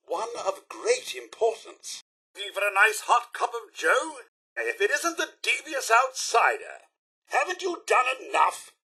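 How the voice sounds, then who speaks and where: A man playing a polite, fussy robot butler in a video game, with a British accent, a raspy edge and a formal, theatrical, deferential delivery. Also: high voice, bright, forceful tone, exaggerated voice. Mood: polite, anxious, then indignant. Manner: formal, deferential, crisply pompous.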